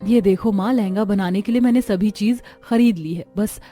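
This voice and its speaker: A woman voicing a humble, gentle young daughter from a poor family in a cartoon story, speaking softly and earnestly. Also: medium pitch, moderate pace, soft background music, natural voice. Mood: earnest, hopeful.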